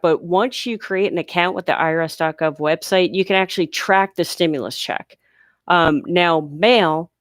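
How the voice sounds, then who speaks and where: A chatty woman runs a live question-and-answer stream, her voice on the lower side and congested. She speaks with a smooth, clean tone, close and dry with no room sound. She explains things patiently, step by step. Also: no echo. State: congested from sinuses. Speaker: livestream host answering viewer questions. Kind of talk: casual live Q&A. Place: home near a large US city.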